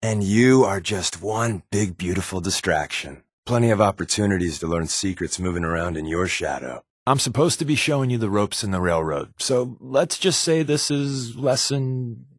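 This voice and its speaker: An actor playing a wry, guarded undercover resistance agent in a video game, conversational and confiding, with a slightly husky voice. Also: male voice, lowish voice, moderate pace, few pauses, no echo, clean studio sound. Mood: wry, easygoing. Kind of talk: naturalistic video game companion dialogue.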